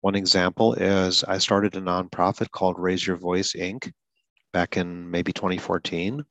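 A man with a very low voice talks through a goal-setting exercise in an earnest, coaching way. Now and then he repeats a word or restarts. The sound is dry and close, with no room around it. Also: steady tone, unhurried, fairly bright tone, dry recording, dull audio. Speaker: podcast host and stuttering advocate. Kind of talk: solo podcast explanation.

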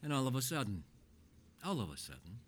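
An older man telling his life story in a casual chat, rambling through animated anecdotes with plenty of swearing. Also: mid-range voice, a little fast, slight background noise.

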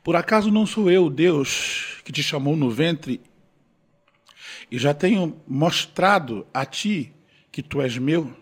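Deep, slow and solemn, this is the voice of God addressing a man, as a storyteller puts it on. It sounds lower than the teller's own talk and is a little husky. Each phrase is weighed and measured. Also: animated, exaggerated voice. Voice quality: grave, measured. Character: solemn voice of God speaking through a missionary, male.

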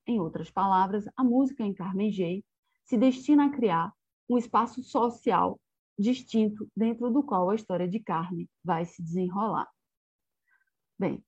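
A woman's voice: medium pitch, a little mellow, husky, dry recording. Brazilian-accented woman, academic speaker reading and explaining to slides; close, dry, dull call-quality recording. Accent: Brazilian Portuguese. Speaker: film researcher giving a presentation. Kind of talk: academic lecture with slides, partly read aloud.

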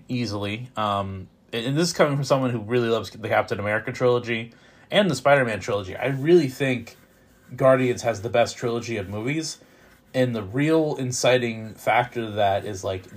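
Man giving a rambling casual film review. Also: mid-range voice. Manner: rambling, halting delivery with repeated words; opinionated, informal fan talk. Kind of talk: casual unscripted movie review.

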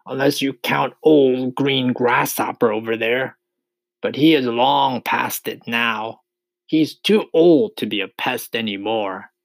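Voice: mid-range voice, animated, slow, creaky, a bit bright, no room sound, pitched above the performer's own voice, exaggerated voice. A performer voicing a boastful, cheeky male centipede in a storybook, bragging and teasing. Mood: mocking, gleeful.

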